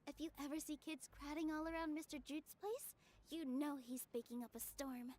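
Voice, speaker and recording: A woman voicing a gentle, polite young female dancer who speaks softly and apologetically. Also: high-pitched, steady tone, moderate pace, a little hiss or hum, close to an everyday voice. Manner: soft-spoken, apologetic and courteous.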